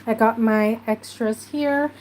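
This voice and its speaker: Slow-talking woman, stuffy. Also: a little high, darkish tone, slight background noise. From Jamaica. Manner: chatty, unhurried, talks while working. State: stuffy nose. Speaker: craft journaling host. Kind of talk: casual craft tutorial chat.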